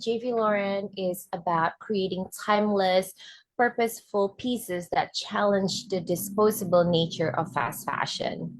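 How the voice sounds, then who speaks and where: A woman's voice: mid-range voice, low-quality recording. A woman from Indonesia, a fashion designer, giving an earnest webinar presentation as the guest speaker.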